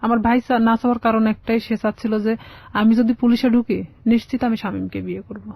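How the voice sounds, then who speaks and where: Fast-talking Bangladeshi woman, dull sound. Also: a little high, steady tone, creaky. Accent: Bangladeshi Bengali. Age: young adult. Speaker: guest telling their life story. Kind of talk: personal storytelling in a radio interview.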